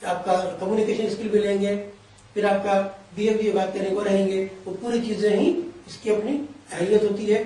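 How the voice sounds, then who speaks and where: A man's voice: high voice, dark tone. A man giving career guidance in an interview, explaining in long, winding sentences that mix Urdu-flavoured Hindi with English terms. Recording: echoey room, voice from a distance.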